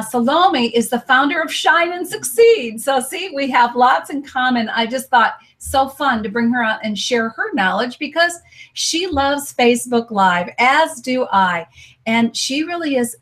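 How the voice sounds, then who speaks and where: A woman's voice: on the high side, fairly bright tone. An American woman hosting a live stream interview show, upbeat and promotional. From United States. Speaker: host.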